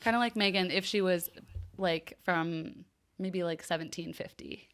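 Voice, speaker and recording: A woman's voice: lowish voice, unhurried, a bit bright. A woman co-hosting a podcast in casual chat, telling anecdotes in an informal way with lots of filler "like". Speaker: podcast co-host.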